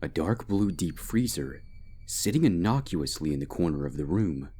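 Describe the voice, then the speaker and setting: Deep-voiced man narrating a dark story. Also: expressive, normal speed, breathy edge. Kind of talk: dramatic scripted narration of dark fan fiction. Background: background music.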